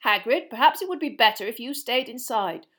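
A reedy, officious committee man, voiced by a woman. He speaks in loud, formal pronouncements. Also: a little high, animated, a little fast, pitched above the performer's own voice.

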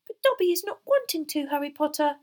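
A woman voicing a small, nervous, devoted male house elf for a storybook, squeaky, deferential and excitable, with broken grammar. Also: a little fast. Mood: earnest, confidential.

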